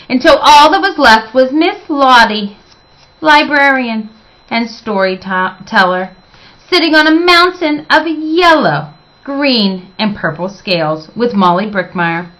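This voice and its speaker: Lady reading a picture book to kids, really bright crisp voice, dramatic and expressive. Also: mid-range voice, slow, rough recording. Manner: dramatic, emphatic phrasing. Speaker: librarian reading aloud. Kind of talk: expressive children's storybook narration.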